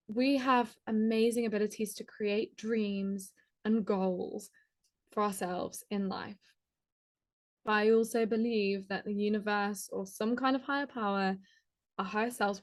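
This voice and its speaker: A woman guest on a podcast, talking in a chatty, casual way, with lots of "like" and quick laughs. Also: fairly high pitch. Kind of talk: casual conversational interview.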